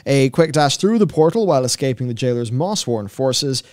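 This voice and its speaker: A man's voice: medium pitch, expressive, moderate pace, a little mellow, no room sound. A man giving casual, offhand commentary on video game news with dry joking asides. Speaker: host.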